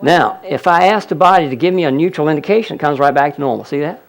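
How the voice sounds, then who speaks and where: Guy running a seminar demo in front of a crowd, gravelly voice, kinda high, keeps going "look at that". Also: quick pace. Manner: explanatory, audience-addressing, frequent "look at that". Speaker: healing seminar presenter. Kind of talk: live seminar demonstration. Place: seminar room with audience.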